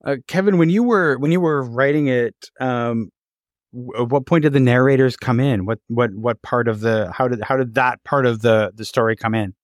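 A man hosts an informal interview. His voice is of middle pitch and he feels his way through questions, repeating words. The sound is dry and free of room echo. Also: moderate pace. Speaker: interview host.

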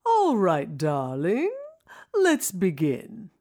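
A woman's voice: on the low side, huge pitch swings, very slow, mellow, exaggerated voice. Woman playing a theatrical, swooping older female singing teacher. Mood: brisk, commanding. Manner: theatrical, commanding, calls people darling. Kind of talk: audio drama character acting.

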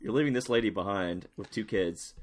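A man's voice: lowish voice, brisk. A man co-hosting a casual movie podcast, hesitant and restarting his sentences often. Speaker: podcast co-host. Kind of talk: casual movie-review chat.

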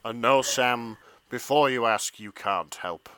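A man voicing a gloomy teenage boy in a comic dramatic reading, with a deep, flat voice and a slow, morose delivery. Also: clean tone, no room sound. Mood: glum.

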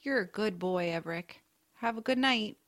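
A woman playing a kindly, adventurous aunt in a family audio drama, speaking in a gentle, reassuring, measured way. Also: medium pitch, natural voice. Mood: earnest, caring. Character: kindly, adventurous, knowing aunt with a mysterious side.

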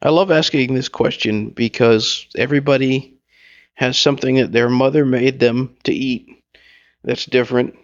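A man's voice: mid-range voice, fairly even intonation, normal speed, muffled recording. A man hosting a radio interview, asking enthusiastic, rambling questions. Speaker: radio host.

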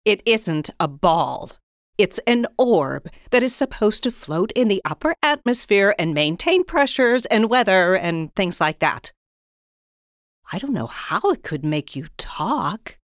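A woman's voice: medium pitch, sing-song, measured pace, dry recording, clean studio sound. A woman playing an easily flustered, devoted scientist in an audio storybook drama. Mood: puzzled.

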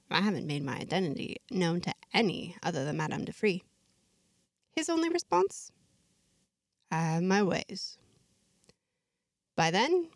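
A woman narrating a first-person story in a measured, reflective, diary-like way, ending with a scripted sign-off. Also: lowish voice, no room sound, clean studio sound.

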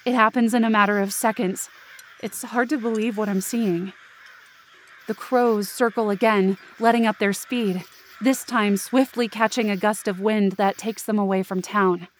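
A woman's voice: mid-range voice, fairly even intonation, moderate pace, slight background noise, natural voice. A woman acting an anxious young woman who narrates her frightening night hike in tense first-person audio drama. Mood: shaken.

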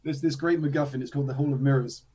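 A man, a slightly hoarse guest chatting casually about tabletop games, with self-deprecating asides. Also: medium pitch, steady tone, rapid-fire, dark tone, lo-fi sound. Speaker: guest, tabletop game designer. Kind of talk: casual interview chat.

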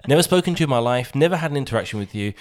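A man co-hosting a podcast, explaining his points in an emphatic way and slowing down to stress key ideas. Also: medium pitch, lively intonation. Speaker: podcast co-host. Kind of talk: casual business chat.

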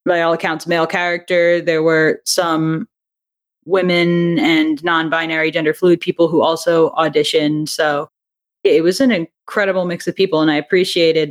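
A woman speaking casually as a podcast guest, explaining things in a conversational, self-qualifying way. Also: low-pitched. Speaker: podcast guest, audio drama writer and director. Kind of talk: casual interview chat.

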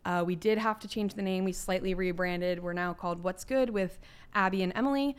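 A young woman co-hosting a casual podcast, chatty and emphatic with youthful slang, her voice a bit lowered as she recovers from flu. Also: brisk, clean tone. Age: young adult. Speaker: podcast co-host.

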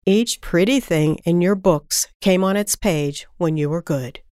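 A woman voicing a kindly but firm fairy in a storybook, patient and measured as she teaches. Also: lowish voice, a little mellow, smooth, dry recording, studio quality, close to an everyday voice. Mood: gentle, sad.

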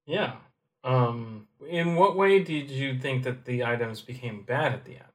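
A man co-hosting a podcast, chatting casually about a game with relaxed, dry asides. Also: mid-range voice, a little slow. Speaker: podcast co-host.

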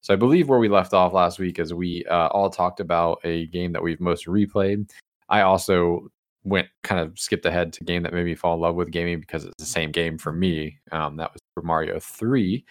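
A man hosting a casual gaming podcast, relaxed and rambling, with some teasing jokes. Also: sits low, moderate pace, no room sound, clean studio sound. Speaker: podcast host. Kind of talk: casual chat.

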